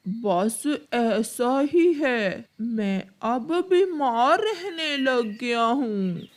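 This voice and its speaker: A woman voicing a sick, gentle old father crow in a cartoon story, in a plaintive, drawn-out way. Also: fairly high pitch, animated. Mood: weary, frail. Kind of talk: Hindi cartoon story acting.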